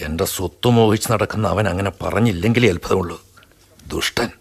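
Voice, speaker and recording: A man's voice: deep voice, brisk, husky. A man playing a desperate husband in a radio drama, who pleads and sometimes rages. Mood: defiant. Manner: emotional, pleading, sometimes outraged. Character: desperate husband, middle-aged schoolmaster. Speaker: husband and narrator.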